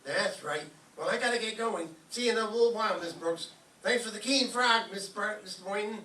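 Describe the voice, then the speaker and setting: A man's voice: sits high, fairly quick, bright, forceful tone. A man playing an eager, naive teenage schoolboy with gushing folksy slang in a radio comedy. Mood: eager, cheerful. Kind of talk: old-time radio comedy acting before an audience. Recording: roomy, distant microphone, faint noise.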